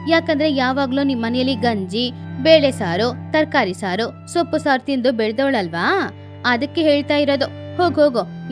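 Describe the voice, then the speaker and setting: A woman voicing a smug eagle neighbour who talks in a mocking, condescending way in a children's cartoon story. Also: sits high. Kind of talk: children's animated bedtime story.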